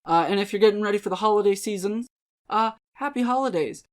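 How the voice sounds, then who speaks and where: A woman's voice: mid-range voice, fast. Rambling woman in a casual outro. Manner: rambling, self-deprecating. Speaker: video creator and dramatic reader.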